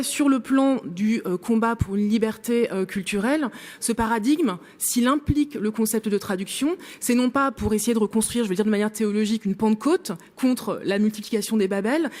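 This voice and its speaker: A woman's voice: a little high, moderate pace, a little mellow. A woman making a long academic remark in a workshop discussion, hesitant with many fillers but building long argued sentences. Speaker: workshop participant.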